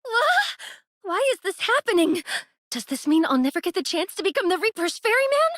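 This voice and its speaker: A woman voicing an earnest, excitable young character in an anime game, speaking expressively. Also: very high-pitched, moderate pace, dry recording, clean studio sound, exaggerated voice. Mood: panicked.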